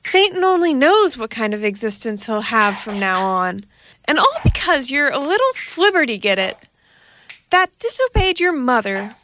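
Fierce mother cat, scolding and menacing, high and sharp, read by a woman. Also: big pitch swings, moderate pace, slight background noise.

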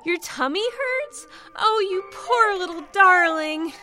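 A woman's voice: animated, slow, pushed and bright, full character voice. A woman voicing a gushing, sentimental first lady who coos over the guest. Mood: cooing. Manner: high-pitched, cooing and effusive. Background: background music.

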